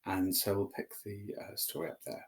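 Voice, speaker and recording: A man's voice: sits low, steady tone, normal speed. A man telling a story, reading aloud expressively as a narrator.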